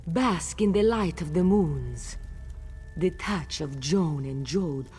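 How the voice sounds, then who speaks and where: Game priestess: solemn cat-folk ritual leader, lowish voice, very unhurried, ceremonial. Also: female voice, animated, darkish tone, slight background noise. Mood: solemn explaining. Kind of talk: fantasy video game character dialogue.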